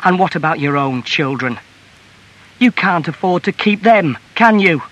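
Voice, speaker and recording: A man's voice: on the high side, expressive, measured pace, a bit bright, pitched above the performer's own voice. A man voicing an angry, reproachful working-class wife in a storybook reading, scolding with raised, emphatic delivery.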